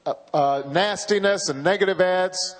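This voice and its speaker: A man in his forties giving a campaign speech, slow and halting with frequent pauses and repeated words. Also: high-pitched. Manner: halting, measured, with many uhs and repeated words. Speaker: political candidate. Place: large university hall. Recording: reverberant hall from a PA.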